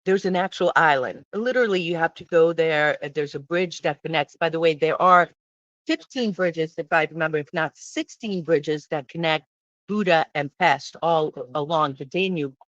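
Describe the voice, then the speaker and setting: A woman's voice: sits low, moderate pace, no room sound, highs cut off. A woman hosting a travel vlog, talking casually and emphatically, often throwing out questions as she explains. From born in Hungary. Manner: chatty, emphatic, asks questions.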